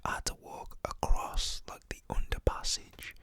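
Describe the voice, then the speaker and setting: A man's voice: measured pace, bright, forceful tone. A young British man whispers a casual, slangy, rambling story in an ASMR style.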